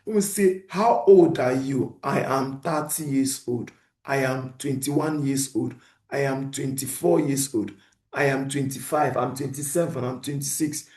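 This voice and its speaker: Animated male teacher in an echoey room. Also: mid-range voice, measured pace. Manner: animated teacher who poses questions and answers them himself. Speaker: instructor. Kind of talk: lecture, teaching beginners.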